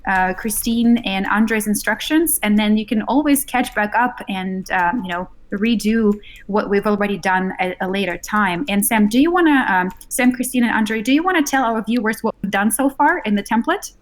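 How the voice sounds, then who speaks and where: A woman's voice: medium pitch, moderate pace, hardly any pauses. Upbeat, encouraging co-host woman. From Far East of Russia. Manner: upbeat, encouraging toward beginners. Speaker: co-host relaying chat. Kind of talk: casual livestream chat.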